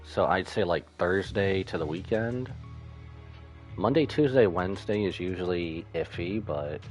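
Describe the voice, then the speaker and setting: Low-voiced man rambling on a game stream. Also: unhurried. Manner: rambling, thinking aloud. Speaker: live streamer. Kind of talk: casual gaming stream chat and commentary. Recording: game music behind the voice. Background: video game music and dialogue.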